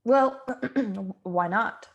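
A woman's voice: mid-range voice, drawn-out words, highs cut off. A woman playing an eager, polite, earnest young woman in an old-time radio play. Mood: nervous, insistent.

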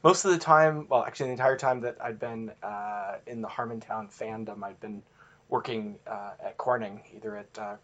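Halting middle-aged man searching for words. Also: fairly low pitch, a bit bright, muffled recording. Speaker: podcast guest. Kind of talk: casual podcast chat.

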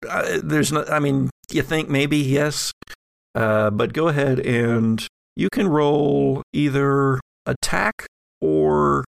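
A man with a gravelly, creaky voice and an average pitch acts as game master for a horror tabletop game. He builds his descriptions piece by piece in a halting way, recorded close and dry. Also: no room sound.

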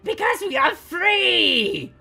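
A man's voice: mid-range voice, measured pace, gravelly, sharp tone, breathy edge, soft background music, pitched above the performer's own voice, full character voice. A man voicing a depraved, exultant wolf creature cult, chanting and declaiming in a dramatic fantasy story. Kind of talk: dramatic audiobook-style character acting.

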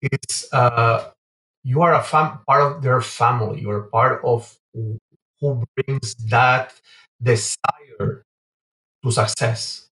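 A man answering interview questions in a halting way, often pausing mid-phrase. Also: mid-range voice, pushed and bright. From Guatemala and Venezuela. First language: Spanish. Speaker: interview guest, entrepreneur. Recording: roomy echo, distant from mic.

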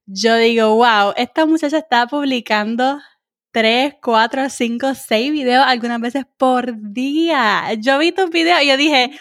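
Woman, Puerto Rican accent, slightly high voice, dry close sound, encouraging, conversational interviewer, some English slang. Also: measured pace, dry recording. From Puerto Rico. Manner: encouraging, chatty, peppered with English words. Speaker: interviewer, host.

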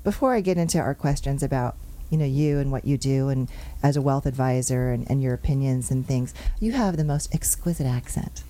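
A woman hosting a talk show, briskly and cheerfully running through market numbers before interviewing a guest. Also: extremely low pitch, mellow. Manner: brisk, upbeat, reels off numbers. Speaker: show host and real estate agent. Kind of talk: chatty show monologue on market figures.

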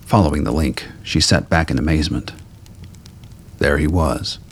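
A man reading scripted dramatic fiction as a narrator, with a gravelly, husky voice. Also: rumbling low, moderate pace.

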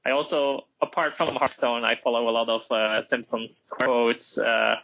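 Podcast guest: Flemish-accented man, chatty, raspy fry and a bright tone. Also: medium pitch, flat delivery, measured pace, no echo, highs cut off. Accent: Flemish Dutch. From Flanders, Belgium. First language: Dutch. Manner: chatty, many fillers and restarts. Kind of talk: casual game chat.

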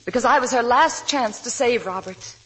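An actress playing an earnest, shy young working woman, tender and wistful, speaking softly in a 1940s radio drama. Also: on the high side, normal speed, slight background noise, natural voice, low-quality recording. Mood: wistful, reminiscing. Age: young adult. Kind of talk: 1940s radio drama acting, dialogue and narration. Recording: vintage 1940s radio broadcast, music behind.

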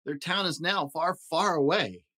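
A man hosting a story podcast, reading a rhyming children's picture book aloud in an expressive, rhythmic way. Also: on the high side, a little slow. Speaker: podcast host and story reader.

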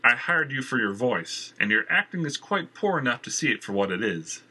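Brief: male narrator doing a smug, lilting theater boss, husky and bright, storybook reading. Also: mid-range voice, very animated, normal speed, full character voice. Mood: mocking. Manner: mocking, falsely wounded.

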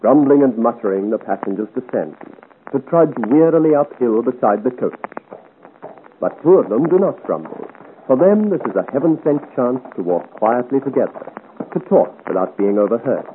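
A man's voice: medium pitch, expressive, moderate pace. A man narrating a 1950s radio adventure serial as a scripted storyteller. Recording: muffled, hissy old radio serial recording.

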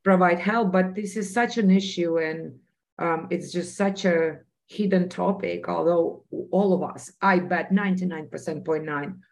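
Low-pitched Russian-accented woman, earnest. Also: steady tone, a little slow, creaky. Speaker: podcast guest, entrepreneur. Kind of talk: conversational interview.

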